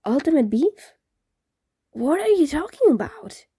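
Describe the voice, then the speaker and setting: A woman voicing a friendly teenage ghost boy in a storybook reading, easily confused and indignant, with animated casual teen talk. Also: high voice, measured pace, dry recording, studio quality, voice pushed up, exaggerated voice.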